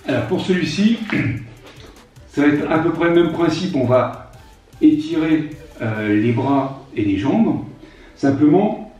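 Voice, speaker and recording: A man in his fifties coaching a gentle strength workout, calmly reassuring and often pausing with hesitations. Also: on the high side, soft background music. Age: 50s. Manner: gentle, reassuring, repeats advice not to strain, frequent hesitations. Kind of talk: exercise instruction video. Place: room at home with an exercise mat. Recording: very echoey room, voice far from mic.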